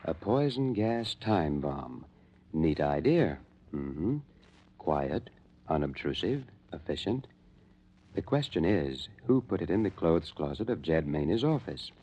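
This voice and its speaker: A man announcing a radio mystery in scripted old-time narration, measured and suspenseful. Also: sits low, very animated, husky. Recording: dull, lo-fi old radio recording.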